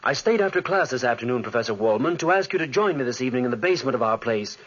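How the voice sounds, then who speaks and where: Haunted, driven young scientist; husky, very animated, formal 1940s radio drama, muffled sound. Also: male voice, medium pitch, racing. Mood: earnest. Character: driven, haunted young gentleman scientist telling his tale.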